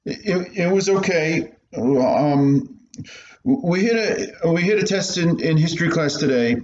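A man's voice: fairly high pitch, expressive, measured pace, a little mellow, echoey room, muffled recording, a little hiss or hum, voice pushed up. A man voicing a timid, stammering young schoolboy in a radio play. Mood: nervous. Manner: nervous, stammering. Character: timid young schoolboy answering his stern mother.

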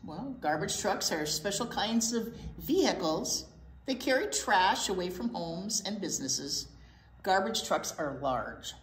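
An older woman co-hosting a children's storytime, chatting and reading aloud in simple, expressive, emphatic phrases. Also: mid-range voice, a little slow. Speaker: teacher, children's storytime co-host. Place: indoor library storytime set, seated. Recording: roomy echo, faint background noise.

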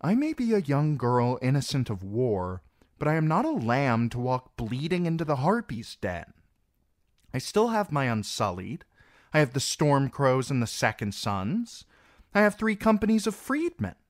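A man's voice: medium pitch, sing-song, moderate pace, dry recording, clean studio sound, pitched above the performer's own voice, close to an everyday voice. A man voicing a young queen who speaks firmly and with command. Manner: firm, commanding.

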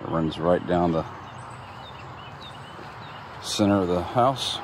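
A man casually walking through his home's construction site, explaining the plumbing in a relaxed, plain-spoken way with plenty of ums. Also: low voice, takes it slowly. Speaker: homeowner showing his build. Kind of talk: casual walkthrough narration. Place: outdoors at a building site. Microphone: phone camera held while walking. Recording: outdoor phone audio with clear background noise.

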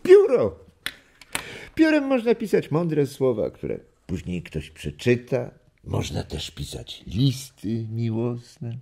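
An older man acting a warm grandfather in a children's radio drama, husky, telling stories reflectively with deliberate pauses. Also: medium pitch, wildly swooping pitch, full character voice. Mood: fond, instructive.

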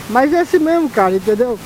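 Rambling Brazilian biker over road noise. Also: male voice, very high voice, lively intonation, normal speed. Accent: Amazonas Brazilian Portuguese. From Manaus, Brazil. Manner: rambling, slangy, repeats himself. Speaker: motovlogger. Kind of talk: casual chat while riding. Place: outdoors on a motorcycle on city roads. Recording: loud road and wind noise.

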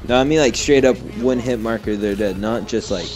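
A man's voice: fairly low pitch, brisk, a little mellow. A teenage boy chatting and joking with a friend during an online shooter game, laughing often. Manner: giggly, joking. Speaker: co-player friend. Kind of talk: casual gaming chat. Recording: game music and gunfire behind.

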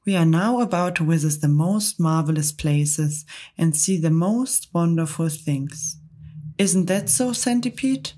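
A woman voicing a kind, gentle female ladybird in a storybook reading, with a soft, motherly manner. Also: fairly low pitch, unhurried. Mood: reassuring.

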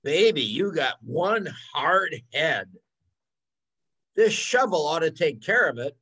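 A shady, hard-boiled husband from a radio crime play as an older man acts him. His voice sits high and swings widely, with a bright, forceful edge. He talks in tough, slangy lines. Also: big pitch swings, takes it slowly, breathy edge, heavily acted. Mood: wary. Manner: slangy tough-guy talk. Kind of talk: 1940s radio drama acting. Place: home room, video call. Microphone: computer mic on a video call.

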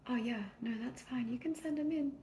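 A woman doing a soft-spoken ASMR therapist roleplay, gentle and reassuring, often slipping into a whisper. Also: high-pitched, fairly quick. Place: small indoor room at a desk. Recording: soft background noise, keyboard clicks. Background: computer keyboard typing.

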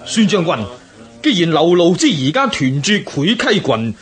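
A man's voice: a little high, big pitch swings, measured pace, a bit bright, soft background music. An actor playing a rebel adviser who speaks earnestly and emphatically to his general in a historical radio drama. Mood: urging.

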